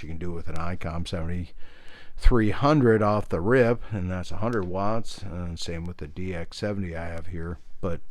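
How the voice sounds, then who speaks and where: A man's voice: lowish voice, no room sound. A middle-aged American man talking casually, meandering through hobby explanations and anecdotes in an informal, self-deprecating way. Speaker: hobbyist radio video presenter.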